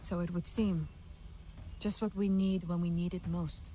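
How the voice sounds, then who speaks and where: A woman playing a grave, wary alien clan ally in a video game, solemn and measured. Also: fairly low pitch, steady tone, mellow, a little hiss or hum, lightly acted. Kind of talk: video game cutscene acting.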